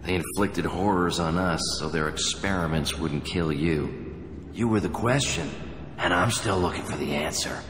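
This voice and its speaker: A man's voice: deep voice, moderate pace, a bit bright. A man playing a haunted, obsessive survivor of childhood experiments in a video game, speaking with brooding intensity. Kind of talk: video game cutscene acting. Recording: echoey hall, faint background noise.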